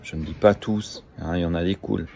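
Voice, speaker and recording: A man from Luxembourg giving a slow, deliberate and disdainful talking-head monologue. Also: low voice, steady tone, creaky, dark tone. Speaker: social media influencer.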